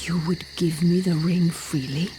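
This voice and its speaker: A woman playing an ancient, regal elven queen in a fantasy video game, solemn, measured and formal. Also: lowish voice, steady tone, music in the background, close to an everyday voice. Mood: grave, intense. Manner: slow, measured, grave, formal.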